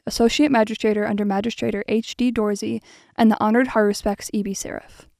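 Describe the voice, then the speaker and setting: Actress as meticulous female archivist, audio drama narration, mellow, flat intonation, precise formal diction, dry sound. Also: fairly high pitch, no room sound, lightly acted. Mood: solemn, official. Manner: precise, measured, bookish.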